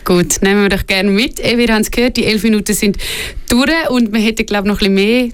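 A woman's voice: mid-range voice, fairly even intonation, fast, clean tone. A woman hosts a podcast, asking her guests open questions and steering the talk. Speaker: podcast host.

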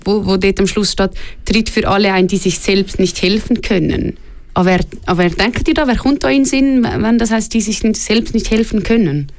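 A woman's voice: medium pitch, fairly quick, darkish tone. A woman with a Swiss German accent moderating a faith discussion, engaging and asking the panel questions while keeping time.

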